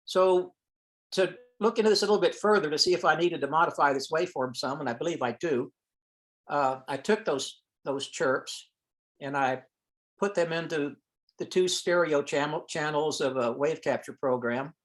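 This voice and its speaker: A man's voice: fairly high pitch, normal speed. A man giving an informal technical presentation on a teleconference, explaining step by step and sometimes thinking aloud. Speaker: presenter at an amateur radio science teleconference. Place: online teleconference call.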